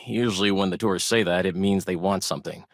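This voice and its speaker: A man's voice: low voice, fairly even intonation, fast, dry recording, clean studio sound. An actor playing a protective, wary traditionalist farmer and family man in a space colony game, stern and guarded with folksy politeness. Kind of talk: video game character dialogue.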